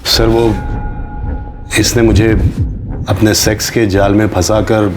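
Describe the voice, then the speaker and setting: Male civilian witness, crime serial, lowish pitch, polite, careful and halting, music behind. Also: little pitch movement. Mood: earnest, uneasy. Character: earnest, polite male civilian informant confiding in police. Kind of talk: Hindi TV crime serial acting. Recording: dramatic music under dialogue.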